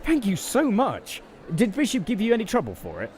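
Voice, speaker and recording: A man's voice: sits high, huge pitch swings, brisk, a little mellow. A man playing a courteous, commanding leader of a wasteland settlement in an audio drama, with slightly old-fashioned manners. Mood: warm, grateful. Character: kindly settlement leader under raider attack, courteous, commanding. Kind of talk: post-apocalyptic audio drama acting.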